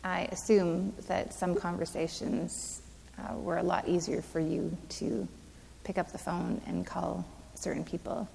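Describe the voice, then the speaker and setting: A woman's voice: on the low side, level tone, unhurried, gravelly, mellow. A woman interviewer asking direct, brief questions. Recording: reverberant hall with faint noise.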